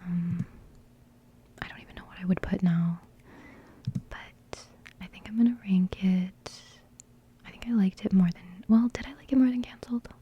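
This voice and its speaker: A young woman whispering a relaxed music reaction in ASMR style, giggling softly and rambling with plenty of fillers. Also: mid-range voice, moderate pace, pauses often, muffled recording, slight background noise. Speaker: ASMR creator reviewing music.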